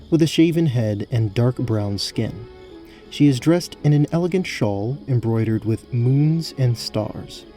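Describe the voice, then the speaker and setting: A man narrating a scripted fantasy tabletop story as game master in a measured, descriptive way. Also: mid-range voice, mellow.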